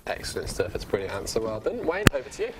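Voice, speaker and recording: A man's voice: fairly low pitch, wildly swooping pitch, rapid-fire, slight background noise. A young man interviewing candidates on a student TV show, upbeat and full of stock praise.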